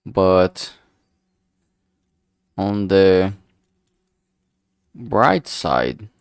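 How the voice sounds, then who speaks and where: A man talking casually while working on a solo stream, halting and rambling, often trailing off mid-sentence and swearing freely. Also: low-pitched, very animated, clear, resonant tone, no echo, clean studio sound. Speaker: solo streamer developing a visual novel. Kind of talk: casual work-along stream monologue.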